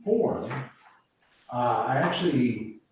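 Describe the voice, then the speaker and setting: A man's voice: medium pitch, very animated, slow pace. A man explaining radio procedures to a committee meeting, rambling and restarting as he goes. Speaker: emergency preparedness volunteer. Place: meeting room. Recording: echoey room from far off, faint hiss.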